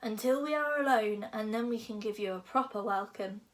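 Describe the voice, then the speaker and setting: A woman's voice: a little high, brisk, natural voice. A woman voicing a sly, menacing big male dragon with a purring, hissing manner, in a storybook. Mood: sly menace. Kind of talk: storybook character voice.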